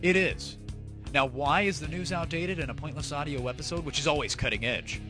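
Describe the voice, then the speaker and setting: A man's voice: fairly high pitch, brisk. A man hosting a comedy audio show, talking casually to listeners in a teasing, mock-formal way. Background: background music.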